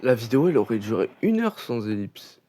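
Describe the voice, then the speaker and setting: A man's voice: medium pitch, very animated, fairly quick, warm tone, a little hiss or hum. A young man chatting casually over a racing game, rambling with many fillers and restarts. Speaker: gaming channel host. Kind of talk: casual gameplay commentary.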